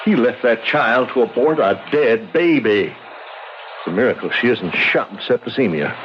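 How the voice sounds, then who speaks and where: A middle-aged man acting as an earnest, pragmatic frontier doctor with halting, emphatic speech in an old-time radio drama. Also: mid-range voice, animated, natural voice. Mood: shaken, bitter. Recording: noisy muffled radio drama recording.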